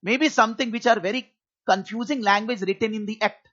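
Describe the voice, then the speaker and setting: A middle-aged man with an Indian English accent teaches an online tax class from a home office. He speaks high and a little husky, earnest and repetitive, reassuring his students. The recording is muffled and lo-fi. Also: brisk, pushed and bright. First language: Hindi.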